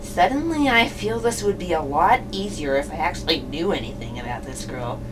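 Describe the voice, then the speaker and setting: A woman's voice: deep voice, lively intonation, moderate pace. A woman playing a hapless, bewildered young male defense attorney in a puppet show, hesitant and easily flustered. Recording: distant microphone, noisy room.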